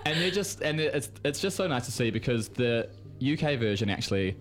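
A man's voice: mid-range voice, sing-song, brisk. A man from New Zealand, a guest reviewer in a radio studio, chatting enthusiastically with lots of filler words. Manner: enthusiastic and rambling, many "like" fillers. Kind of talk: casual radio chat.

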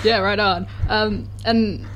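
A woman's voice: medium pitch, steady tone, takes it slowly, fairly bright tone, a little hiss or hum. A woman interviewing guests, listening attentively with many short "mm" and "yeah" sounds between her questions.

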